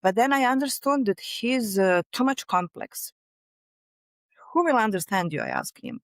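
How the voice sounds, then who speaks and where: A middle-aged woman with a Serbian accent, speaking animatedly in an interview and often pausing to search for words. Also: on the high side, no echo, clean studio sound. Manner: animated, searching for words, frequent "you know". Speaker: guest, fascia researcher and author. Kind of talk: conversational interview.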